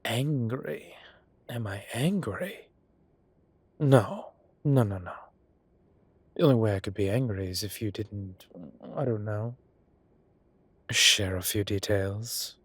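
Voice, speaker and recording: A suave gentleman boss, acted by a man for an audio roleplay, teasing and theatrical. His voice is husky and breathy, and he drawls very slowly with arch relish. The recording is close and dry. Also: fairly low pitch, no echo. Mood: playful prodding. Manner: drawling, arch, playfully dramatic. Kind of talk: scripted intimate roleplay acting.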